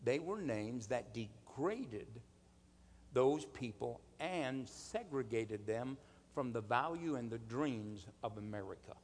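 A man preaching a sermon in an emphatic, deliberate manner, with rhetorical questions and calls for response. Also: mid-range voice, expressive, darkish tone, a little hiss or hum. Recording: long hall reverb.

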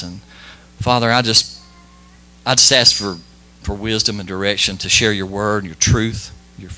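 A man delivers a homespun sermon, his voice bright and forward. He talks plainly and modestly, circling back over his words with frequent restarts and filler sounds. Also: on the low side, poor audio. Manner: halting and folksy, many restarts and fillers. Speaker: lay preacher. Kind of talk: informal church sermon with prayer.

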